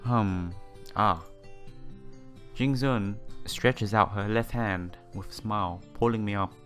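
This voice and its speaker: Low-voiced man reading a visual novel. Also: fairly bright tone. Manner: halting read-aloud, stumbling over words. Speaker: let's player. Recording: game music behind the voice. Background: visual novel background music.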